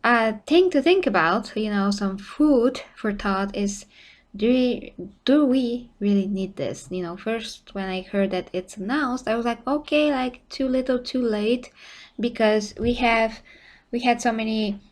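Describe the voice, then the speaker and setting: A woman's voice: a little high, unhurried. A woman streamer with an Eastern European accent, talking casually and rambling through a live reaction, often laughing and correcting herself.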